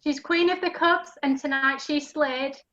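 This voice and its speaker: A woman's voice: high-pitched, fairly even intonation, unhurried, a bit bright. A woman giving scripted rhyming narration for an amateur pantomime over a video call. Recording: dull lo-fi video call audio.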